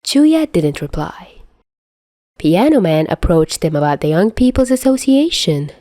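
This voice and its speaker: Female audiobook narrator, lowish register, highly varied intonation, dramatic storytelling delivery. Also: very animated, unhurried, dark tone, no room sound, studio quality. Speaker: fan fiction reader. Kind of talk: expressive scripted story narration.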